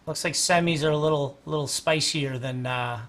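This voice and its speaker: Male host, fairly high, gravelly, losing his voice, loose rambling chart talk. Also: moderate pace, a bit bright. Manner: rambling, restarts, clears throat often. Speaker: host and market analyst. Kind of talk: casual livestream chart analysis.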